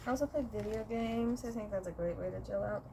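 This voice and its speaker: A woman's voice: mid-range voice, quick pace, creaky, mellow, slight background noise. A young woman from Sweden, chatting casually and playfully to her viewers while gardening outdoors, talking to herself and humming as she works. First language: Swedish. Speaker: gardening vlogger.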